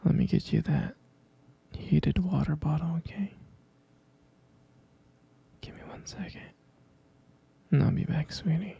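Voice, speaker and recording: A man plays a doting young-love husband murmuring to his partner in an ASMR roleplay. His voice is very dark and mellow, heavily creaky and breathy, often dropping into a whisper. He speaks softly and tenderly, with slow, hesitant phrasing. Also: on the high side, full character voice. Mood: gentle, caring.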